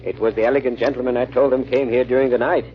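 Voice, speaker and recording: An actor playing a fretful, gossipy French innkeeper with hesitant, courteous talk in a 1940s radio drama, on a muffled old recording. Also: male voice, mid-range voice, fairly even intonation, fast talker, faint music behind, lightly acted. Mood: gossipy, resigned.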